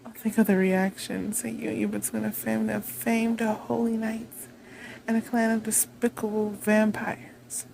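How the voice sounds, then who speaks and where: A woman playing a haughty, vengeful vampire queen in a roleplay monologue, imperious, taunting and deliberate in delivery. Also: a little high, level tone, gravelly, darkish tone, a little hiss or hum, low-quality recording. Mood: gloating.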